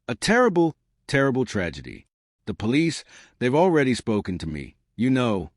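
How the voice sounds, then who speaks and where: A man performs a jittery male bank manager from a mystery audiobook. He stammers, dodges and bristles defensively, his pitch moving about a lot in a close, dry sound. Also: wildly swooping pitch, normal speed, no echo. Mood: flustered, anxious. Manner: stammering, vehement. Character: nervous, evasive bank manager in spectacles, defensive and brittle.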